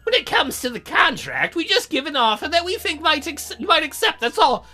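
A woman's voice: sits high, very animated, fairly quick, sharp tone, soft background music, pitched above the performer's own voice, heavily acted. A woman voicing a shy, lonely young female demon from a visual novel, earnest and hesitant as she speaks. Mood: confessing. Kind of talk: visual novel character read aloud.

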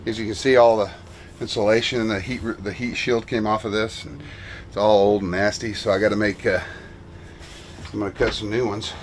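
A man's voice: fairly low pitch, fairly even intonation, a little fast, slight background noise. A man in his fifties, plainspoken and chatty, explaining a repair job inside a boat cabin. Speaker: sailing vlogger. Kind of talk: casual how-to narration.

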